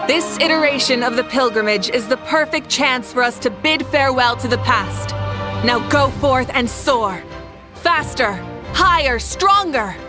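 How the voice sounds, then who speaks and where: A high-voiced woman leader from a video game. She proclaims in a grand, rousing, commanding way. Music plays clearly underneath. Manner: grand, declamatory.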